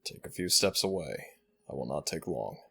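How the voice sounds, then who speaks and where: A man voicing an ancient jackal god in an audio roleplay, regal and fondly exasperated, with formal, measured speech and a deep, gravelly voice. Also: expressive, bright, forceful tone, a little hiss or hum, heavily acted. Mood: solemn.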